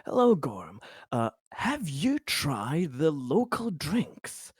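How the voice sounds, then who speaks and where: A man playing an affable, courteous corporate director of a colony in a tabletop game, chatting in a halting, polite way. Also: on the high side, huge pitch swings, breathy edge, no echo, studio quality, pitched above the performer's own voice, exaggerated voice. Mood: courteous, chatty.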